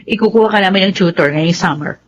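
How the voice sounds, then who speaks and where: A woman's voice: medium pitch, fast talker, highs cut off, natural voice. A woman playing a strict, impatient Filipino mother in a radio drama, bossy and questioning, with a Filipino accent.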